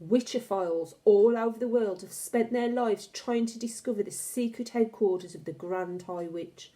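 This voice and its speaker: A woman reading a children's novel aloud as an expressive, dramatic and deliberate storyteller. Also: mid-range voice, rough recording.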